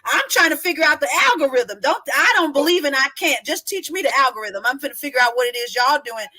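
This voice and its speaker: High bright-voiced woman in her 40s, emphatic, talks over everyone. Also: quick pace. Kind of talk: casual panel chat.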